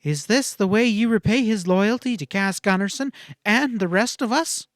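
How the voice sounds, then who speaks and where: A man voicing a scornful, quick-tempered young frontier woman in a western audiobook, speaking in emphatic, cutting outbursts. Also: high voice, dry recording, studio quality, pitched above the performer's own voice, natural voice. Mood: shocked, pleading.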